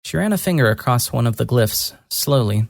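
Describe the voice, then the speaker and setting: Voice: mid-range voice, animated, fairly quick, gravelly, dry recording, clean studio sound. A narrator reading a dramatic first-person story in a scripted style.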